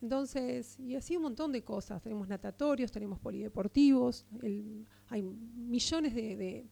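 An Argentine woman speaks as a radio interview guest, with a gravelly edge running through her voice. Her tone is mellow and dark, and she argues with earnest, steady conviction, restarting phrases as she goes. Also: on the high side, moderate pace. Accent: Argentine Spanish. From Argentina, Partido de la Costa. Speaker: interviewed guest, local political figure.

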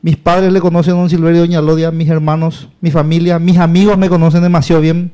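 High-voiced guy, mellow tone, rambling on about his campaign, keeps repeating himself. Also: level tone, fast, clear, resonant tone. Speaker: local politician, guest. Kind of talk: casual campaign talk.

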